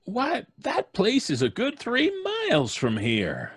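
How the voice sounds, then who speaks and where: A testy, folksy small-town doctor in a radio horror play. His rough, slightly high voice swoops dramatically with exasperation and bluster. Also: wildly swooping pitch, takes it slowly, fairly bright tone, dry recording, studio quality, heavily acted. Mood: testy, rattled. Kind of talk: old-time radio drama acting. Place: home recording, live remote video call.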